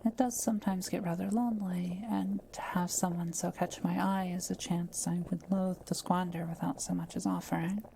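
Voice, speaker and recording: A woman playing a kind, soft-spoken forest witch in an intimate audio roleplay, talking to the listener in gentle, formal, old-fashioned wording. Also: fairly low pitch, fairly even intonation, normal speed, creaky, few pauses, slight background noise, rough recording. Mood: gentle, earnest.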